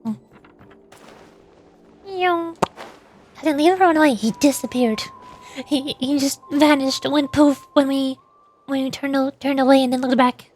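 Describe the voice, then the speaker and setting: A woman's voice: high voice, measured pace, pauses often, darkish tone, faint music behind. A woman streamer giving casual, chatty, playful commentary on a game. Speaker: streamer, VTuber.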